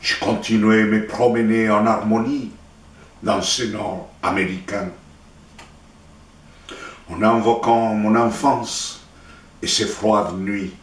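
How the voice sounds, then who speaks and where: A man from Chile with a Chilean Spanish accent, a native Spanish speaker, recites his poetry in a solemn, declamatory way with deliberate pauses. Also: lowish voice, fairly even intonation. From Santiago, Chile. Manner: deliberate, declamatory, with pauses between short phrases. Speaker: poet reading own work. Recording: roomy echo, distant microphone.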